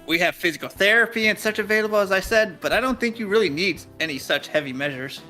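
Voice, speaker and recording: A man acts out a cheerful, formal game doctor with a high, bright voice, dispensing reassuring advice. Music plays behind him. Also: fast. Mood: advisory. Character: smiling male doctor giving brisk, reassuring advice.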